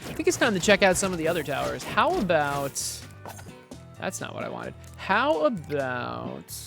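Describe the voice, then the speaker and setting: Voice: medium pitch, huge pitch swings, normal speed, creaky, music in the background. A game developer giving casual gameplay commentary, explaining things step by step and correcting themselves along the way. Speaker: game developer demoing own game.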